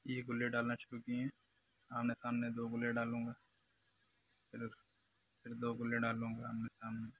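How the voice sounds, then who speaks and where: Young male artisan host, Pakistani accent, very flat pitch, narrating his handiwork step by step over clear workshop noise. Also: moderate pace, long pauses. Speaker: glass engraver, channel host. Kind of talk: live craft demonstration commentary. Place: glass cutting workshop. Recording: noisy workshop background hum.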